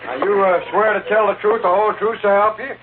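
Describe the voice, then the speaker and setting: A rustic, simple frontier court clerk, played by a man, with a very high voice and a folksy Western drawl. It is old radio drama acting on a hissy, tinny recording. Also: steady tone, fast talker, reverberant, lightly acted. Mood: plodding. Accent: American Western drawl.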